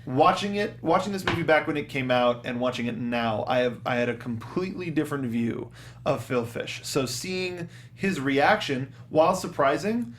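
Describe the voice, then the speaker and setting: A man hosting a casual film discussion show, speaking in a halting, emphatic way. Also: fairly high pitch, animated. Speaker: talk show host.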